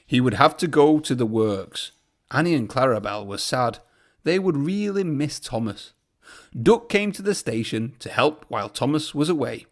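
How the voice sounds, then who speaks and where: A man's voice: mid-range voice, expressive, normal speed. A man narrating a children's storybook aloud as a storyteller.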